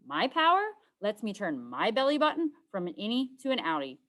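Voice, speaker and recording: A woman's voice: medium pitch, sing-song, fairly quick, fairly bright tone. A woman voicing a naive, talkative young boy, an eager little brother in a children's storybook. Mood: guileless. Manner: guileless, talkative. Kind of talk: children's storybook read-aloud.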